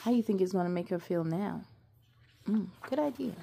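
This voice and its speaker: A woman in her thirties hosting a children's story time, expressive and sing-song as she speaks to young viewers. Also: medium pitch, normal speed, creaky, slight background noise. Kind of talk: children's read-aloud with asides to young viewers. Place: living room at home.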